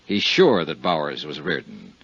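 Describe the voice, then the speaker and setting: A man's voice: rumbling low, very animated, normal speed, gravelly, a bit bright, slightly rough, exaggerated voice. A man with an American accent acting as a dry, clipped, matter-of-fact insurance investigator who narrates a 1950s radio detective drama. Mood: dry, measured. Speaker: lead actor and narrator. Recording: muffled vintage radio recording with music.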